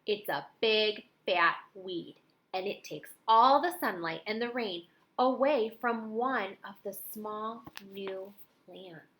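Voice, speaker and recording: A middle-aged woman reading a children's picture book aloud in an expressive way with dramatic emphasis. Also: medium pitch, slow. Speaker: storybook reader.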